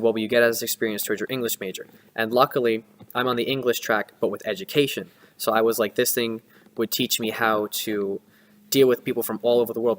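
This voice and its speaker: A young man speaks in a long, echoing room reverb, with a mid-pitched voice. He chats informally, sharing advice and experience in a rambling, conversational way. He often breaks off and rephrases as he goes. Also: slight background noise. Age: young adult. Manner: rambling, conversational, self-interrupting. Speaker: student vlogger. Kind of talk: casual first-person advice and recounting.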